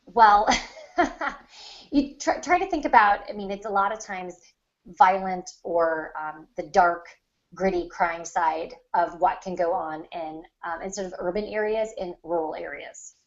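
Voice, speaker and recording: An American woman from the Ozarks in a casual book discussion as a co-host, often pausing and rephrasing mid-thought. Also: on the low side, lo-fi sound. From the Ozarks, United States. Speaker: podcast co-host.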